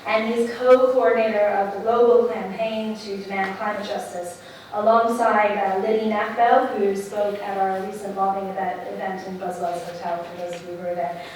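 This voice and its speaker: A woman's voice: medium pitch, flat delivery, moderate pace, darkish tone. A woman introducing a guest speaker at an evening event, light and joking, with some fillers. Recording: echoey hall from far off, faint hiss.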